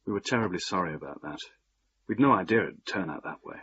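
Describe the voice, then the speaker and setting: An earnest young husband in a radio play. His lowish voice moves in lively contours, troubled and hesitant. The recording is slightly muffled. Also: fast talker, slight background noise. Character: earnest, troubled young son-in-law caught in family feud. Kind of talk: British radio drama acting.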